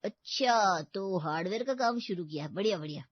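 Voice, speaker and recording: A woman's voice: medium pitch, animated, normal speed, no room sound, lo-fi sound, lightly acted. A woman voicing a cheerful but evasive young male friend in a story cartoon.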